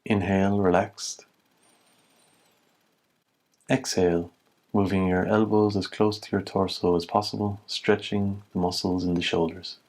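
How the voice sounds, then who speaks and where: A man giving guided meditation instruction as a teacher, in measured short phrases with long pauses. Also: low voice, flat delivery, a little mellow.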